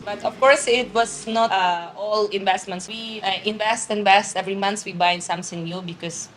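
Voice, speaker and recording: A woman in her thirties from Ukraine talks through her answers haltingly, often stopping to search for words. Her voice sits at a middle pitch. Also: fairly even intonation, fairly bright tone, soft background music, low-quality recording. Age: 30s. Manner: hesitant, searching for words, ends answers with laughter. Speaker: bakery owner. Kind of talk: casual interview answers.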